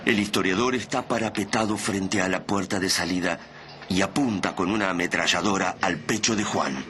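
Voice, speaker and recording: A man narrating a radio play in a solemn, measured, dramatic style. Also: low-pitched, noisy background. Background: dramatic music.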